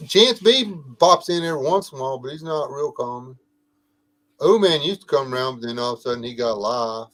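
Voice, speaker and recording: A middle-aged man co-hosting a casual podcast livestream, a laid-back joking storyteller with a soothing voice and frequent filler pauses. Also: mid-range voice, expressive, a bit bright, low-quality recording. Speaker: podcast co-host.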